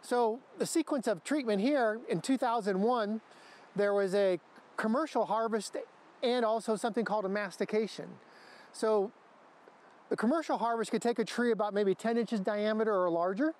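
A man's voice: high-pitched, normal speed, darkish tone. An American man in his fifties, a researcher giving an educational explanation to camera outdoors. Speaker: forest researcher. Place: outdoors in a forest clearing.

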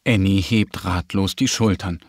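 A man narrating a scripted children's story in a careful, expressive way. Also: low-pitched, a little slow, no room sound, studio quality.

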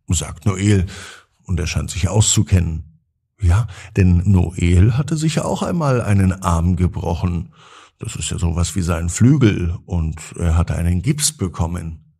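A man narrating a scripted bedtime story for children in a gentle, drawn-out, expressive way. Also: low voice, gravelly, breathy edge.

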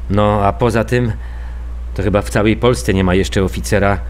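An actor playing a weary, diplomatic police detective in a naturalistic radio crime drama, speaking haltingly. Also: male voice, fairly low pitch, steady tone, slight background noise, lightly acted. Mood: deferential, careful. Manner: hesitant, measured, halting.

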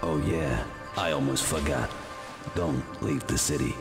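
An actor playing a smug, courteous crime boss in a video game, speaking deliberately with menacing, formal politeness. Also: male voice, a bass voice, creaky, exaggerated voice. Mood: calm veiled threat. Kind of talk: video game cutscene acting. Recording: loud music under the voice.

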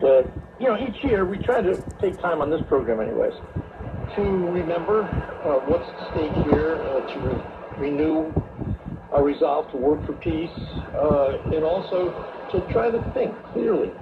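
Guy hosting talk radio over shortwave, thin phone-like sound with lots of static, thoughtful and halting. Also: fairly high pitch, lively intonation. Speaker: radio talk show host. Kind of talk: radio talk monologue on history and morality. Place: radio studio, heard through a shortwave receiver. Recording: noisy shortwave radio broadcast, tinny narrow band.

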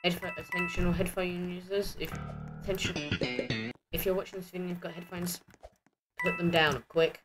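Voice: mid-range voice, flat delivery, normal speed, long pauses, loud music behind the voice, rough recording. A person giving casual gameplay commentary, muttering and thinking aloud. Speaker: gamer recording gameplay.

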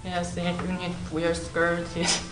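Taiwanese student girl, flat low-ish voice, roomy sound, music under it. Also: recorded from a distance. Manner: simple halting English. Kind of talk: interview answers to camera. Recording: roomy room sound over background music.

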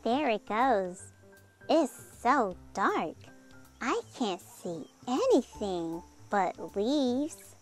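Lady doing a bossy excitable little girl, higher pitched, insistent, kids story read aloud. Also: very slow, faint music behind, exaggerated voice. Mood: dismayed. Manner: exclaiming, insistent.

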